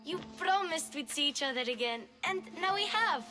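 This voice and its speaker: A woman's voice: extremely high pitch, expressive, normal speed, over music. An actress playing a plucky, cheeky young Greek girl in a video game. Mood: excited, cheeky.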